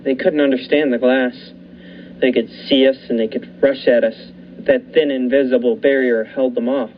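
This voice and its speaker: A young man voicing a lighthouse keeper who narrates a suspenseful radio drama in a measured, dramatic way with lingering pauses. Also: medium pitch, natural voice. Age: young adult. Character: male lighthouse keeper recounting a nightmare. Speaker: student actor. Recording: muffled with faint hiss.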